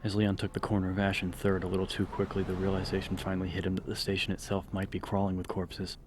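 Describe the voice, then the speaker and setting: A man with a very low voice narrates a horror story in a dramatic, scripted style. His delivery stays narrow in range, set over clear background ambience and noise. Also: monotone, quick pace. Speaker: audiobook narrator.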